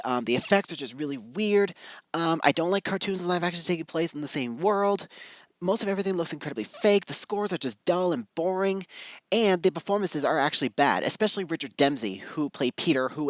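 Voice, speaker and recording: Informal reviewer, mid-pitched and very animated, halting but emphatic delivery, recorded dry. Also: dry recording. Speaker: video reviewer. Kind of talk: informal opinionated review, speaking to viewers.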